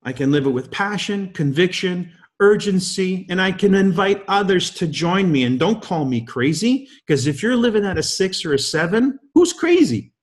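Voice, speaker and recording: A man's voice: high voice, lively intonation, moderate pace, few pauses. A man in his fifties, guest-hosting a leadership call, giving a motivational talk full of emphatic rhetorical questions. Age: 50s. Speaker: guest host.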